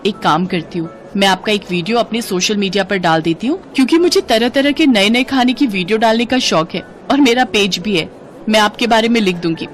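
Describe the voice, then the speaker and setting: A woman's voice: medium pitch, fairly quick, faint music behind, lightly acted. A woman voicing a kind, helpful young female customer in a moral story, eager to help. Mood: cheerful, encouraging. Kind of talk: storybook character acting.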